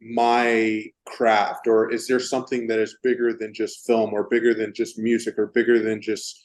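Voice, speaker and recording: A man from Texas interviewing a guest, halting and restarting often as he searches for words. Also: fairly low pitch, completely flat delivery. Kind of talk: casual interview.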